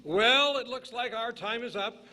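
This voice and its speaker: Male announcer, high-pitched, bright forceful tone, old-time radio style. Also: a little slow.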